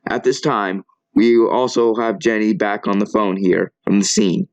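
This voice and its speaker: A man's voice: on the low side, steady tone, measured pace, dry recording, dull audio. A man playing a composed, earnest local news anchor reading a scripted broadcast. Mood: composed, serious.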